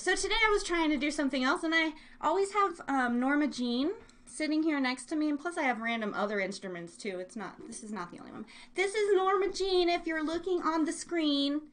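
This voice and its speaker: Woman, higher-pitched voice, online show host, casual rambling chat, playful, scattered, bubbly. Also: fairly bright tone.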